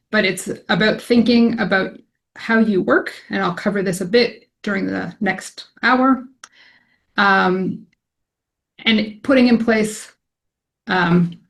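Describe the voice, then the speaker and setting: A woman's voice: mid-range voice, vocal fry. A woman in her forties with a Canadian accent, an academic statistician giving a conversational presentation full of ums. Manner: conversational, frequent ums, self-deprecating. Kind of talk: informal presentation to colleagues.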